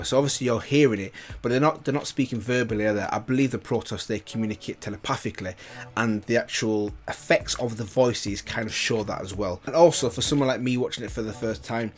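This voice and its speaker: Low-voiced man giving casual commentary. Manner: rambling, repeats and rephrases himself. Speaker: reaction video host. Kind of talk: casual reaction and review commentary.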